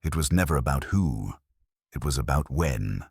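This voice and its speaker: A man voicing a keen, brooding master detective in an audio story, explaining in a deliberate, theatrical manner. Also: very deep voice, lively intonation, creaky, darkish tone, breathy edge, no room sound, studio quality, exaggerated voice. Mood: intent, revelatory.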